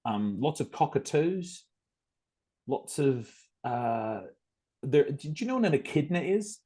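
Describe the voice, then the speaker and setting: A man's voice: on the high side, big pitch swings, slow pace, mellow, husky. A man from South Australia with an Australian accent, hosting a casual interview and chatting in a rambling way with many fillers. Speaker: podcast host.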